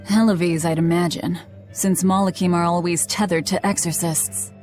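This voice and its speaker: A woman's voice: on the low side, fairly even intonation, normal speed, darkish tone, faint music behind, lightly acted. An actress playing a hardened young woman bent on revenge in a fantasy game, with a terse, commanding way of talking. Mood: cool, wry. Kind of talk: dubbed fantasy game acting.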